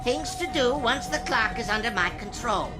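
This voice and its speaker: A man's voice: extremely high pitch, expressive, moderate pace, over loud music. A man playing a megalomaniac, petty robot villain doctor in a video game, with a theatrical, scheming manner. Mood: imperious, scheming. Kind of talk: video game cutscene acting.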